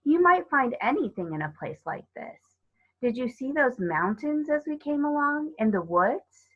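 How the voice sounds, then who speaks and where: A woman's voice: sits high, sing-song, moderate pace, phone-quality sound, pitched above the performer's own voice. A woman voicing a confident, eager older schoolboy in a storybook, with assured, emphatic delivery. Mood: eager, excited. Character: confident, adventurous, eager schoolboy, eldest brother.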